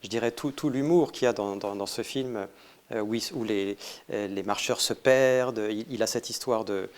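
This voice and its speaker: A man from France speaking as an interview guest, measured and hesitant, often searching for his words. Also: fairly low pitch. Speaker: interview guest, cultural institute director. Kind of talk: conversational interview.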